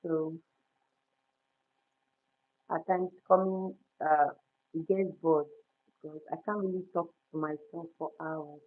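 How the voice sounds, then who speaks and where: Nigerian woman in her 30s, Igbo native, casual livestream host, halting, soft-spoken, phone-quality sound. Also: low voice, steady tone. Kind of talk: casual livestream chat with viewers. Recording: tinny phone-like audio.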